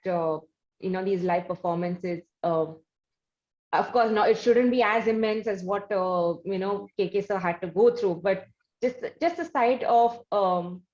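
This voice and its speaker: Female interview host, Indian accent, conversational and hesitant with frequent pauses, slightly dull recording. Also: medium pitch, darkish tone. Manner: hesitant, halting phrasing with many pauses. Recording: dull, lo-fi sound.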